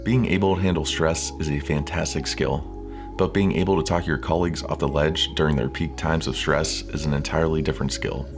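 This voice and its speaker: Very deep-voiced man narrating over music. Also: steady tone, a little fast. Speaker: presenter of interview coaching videos. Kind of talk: scripted instructional narration.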